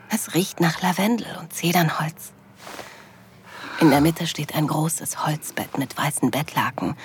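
A woman playing a loving, happy wife, narrating an intimate audio drama softly and breathily, often near a whisper. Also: low voice, slight background noise. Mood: tender. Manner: soft, breathy delivery, often dropping to a whisper. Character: loving wife, happily moved, narrating her anniversary trip tenderly.

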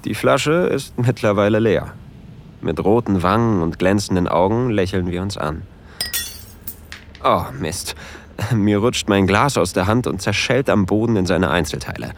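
An actor playing an introverted, easily flustered train traveler who tells of a romantic encounter in an intimate audio drama. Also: male voice, lowish voice, expressive, unhurried, a little hiss or hum. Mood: shy, flustered.

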